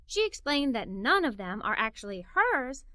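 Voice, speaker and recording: A woman reading a children's story in an expressive, scripted narrating style. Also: fairly high pitch, moderate pace, no room sound, studio quality. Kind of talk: scripted read-aloud story narration for kids.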